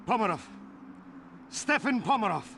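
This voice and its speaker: A man's voice: high voice, animated, normal speed, creaky, exaggerated voice. A man acting a frightened, desperate captive in a video game, with strained, pleading delivery. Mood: defiant, strained. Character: desperate, frightened captive henchman under interrogation. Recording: loud gunfire and vehicle noise.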